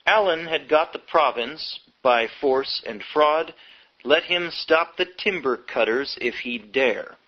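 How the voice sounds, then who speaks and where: A man reading a history book aloud as scripted narration, in measured, short phrases, on a dull lo-fi recording. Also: a little high. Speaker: audiobook reader. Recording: dull lo-fi audio.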